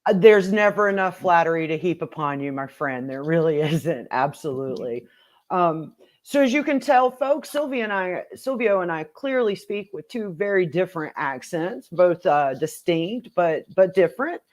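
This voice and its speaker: A woman hosting an interview, enthusiastic and quick to laugh, often restarting phrases. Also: fairly low pitch, animated. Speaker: host.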